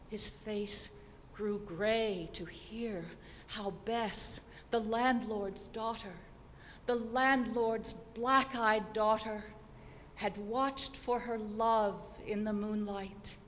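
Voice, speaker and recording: A woman giving a dramatic poetry recitation, theatrical and deliberate, swinging between hushed and declamatory delivery. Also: a little high. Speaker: poetry performer. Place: opera house hall, live performance. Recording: noisy recording in a reverberant hall.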